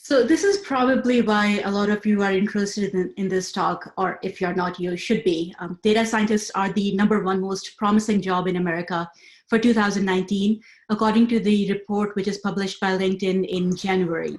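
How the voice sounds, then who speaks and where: A woman in her thirties, originally from Pakistan, giving a webinar talk over a computer mic. Her delivery is clear and measured, with a lecturing pace and frequent "um"s. The room around her is noticeably echoey. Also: mid-range voice, fairly even intonation. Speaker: webinar speaker.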